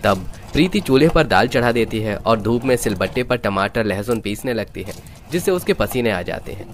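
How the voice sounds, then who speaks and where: A man's voice: medium pitch, lively intonation, normal speed, faint music behind. A man reading scripted narration for a story.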